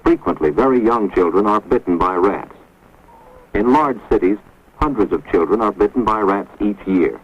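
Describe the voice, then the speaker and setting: A man's voice: lowish voice, expressive, slight background noise. Grave man narrating an old film. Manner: measured, grave, authoritative delivery. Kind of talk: scripted educational narration. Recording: dull, lo-fi 1950s film soundtrack.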